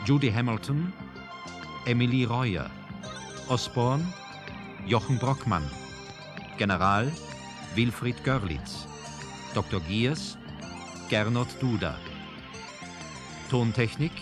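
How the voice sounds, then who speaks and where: Slow, formal male radio announcer. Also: mid-range voice, lively intonation. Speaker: radio announcer reading credits. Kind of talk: formal scripted broadcast announcement. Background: music behind the voice.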